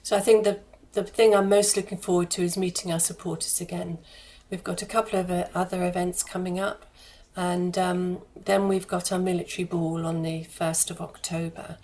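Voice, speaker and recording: A woman with a fairly low voice and very little rise and fall in pitch. She answers as a guest in a radio interview, talking conversationally and feeling her way through phrases with some repetition. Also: flat delivery, normal speed, darkish tone, a little hiss or hum. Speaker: charity head of fundraising, interview guest.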